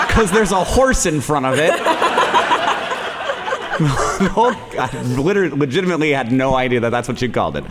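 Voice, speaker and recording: A man's voice: a little high, sing-song, moderate pace, long pauses, very noisy. A man in his late thirties with an American accent, hosting a live podcast in front of an audience, telling stories with self-deprecating humour and frequent laughs. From United States. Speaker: podcast host. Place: stage before a live audience.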